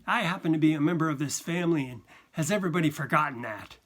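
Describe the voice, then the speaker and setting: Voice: medium pitch, expressive, quick pace, a bit bright, lightly acted. A narrator acting an angry, sarcastic young boy. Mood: indignant, sarcastic. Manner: snapping, indignant, sarcastic. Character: angry sarcastic ten-year-old boy, fed up.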